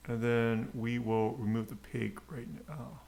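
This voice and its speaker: A man giving an unscripted coding tutorial, haltingly muttering to himself as he types. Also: mid-range voice, fairly even intonation, a little mellow. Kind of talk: unscripted screencast coding tutorial, thinking aloud. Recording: clear background noise, keyboard clicks. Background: typing and mouse clicks.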